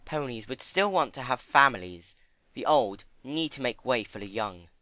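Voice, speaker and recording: A man's voice: mid-range voice, lively intonation, moderate pace, bright, forceful tone, dry recording. A man voicing a refined, ladylike female pony with a genteel, thoughtful and dramatic way of speaking in a story reading. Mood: musing. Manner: genteel, musing. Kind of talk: dramatic fan-fiction reading.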